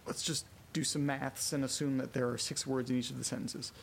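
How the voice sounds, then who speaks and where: A man playing a frightened character trapped in a haunted house, muttering to himself haltingly and swearing in a horror audio drama. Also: medium pitch, level tone, creaky, a little hiss or hum, natural voice. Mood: tense puzzling.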